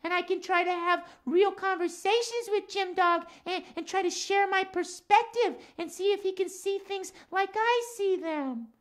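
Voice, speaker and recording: A man voicing an earnest, principled female cat puppet for a children's show, with a halting, repetitive way of talking. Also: very high-pitched, fairly bright tone, voice pushed up. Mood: earnest, determined.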